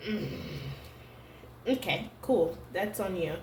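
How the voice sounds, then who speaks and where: A young adult woman vlogging to camera at home, talking in a halting, searching way full of "like" fillers. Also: medium pitch, expressive, a little mellow, a little hiss or hum. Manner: hesitant, halting, heavy use of "like" fillers. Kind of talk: personal confessional vlog. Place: room at home. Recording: roomy home echo.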